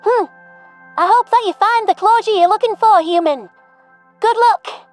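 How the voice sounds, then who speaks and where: A woman's voice: extremely high pitch, normal speed, faint music behind. A woman playing an embittered, distrustful alien bird fleet commander in a sci-fi audio drama, blunt and threatening. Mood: subdued. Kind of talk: audio drama character acting.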